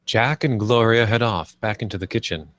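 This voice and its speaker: A man's voice: fairly low pitch, normal speed, a bit bright, dry recording. A man reading scripted stage directions as the narrator of a live screenplay table read.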